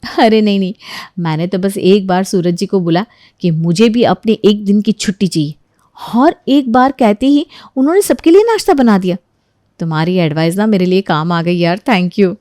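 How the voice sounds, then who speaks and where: A woman's voice: medium pitch, lively intonation, normal speed, mellow, no echo, studio quality, natural voice. A woman voicing a frank, friendly young daughter-in-law in a dramatized story. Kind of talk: dramatized Hindi moral story acting.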